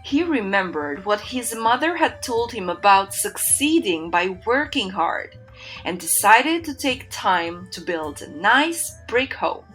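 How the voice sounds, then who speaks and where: A woman narrating a children's fairytale in an expressive storytelling manner. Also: mid-range voice, slow pace, faint music behind, rough recording.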